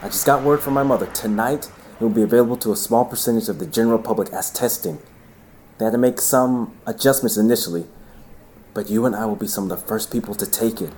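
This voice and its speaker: A man's voice: on the low side, quick pace, a little mellow, a little hiss or hum, natural voice. A man acting in an audio drama as a worried, earnest young boyfriend who pleads and lectures. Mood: hopeful, earnest.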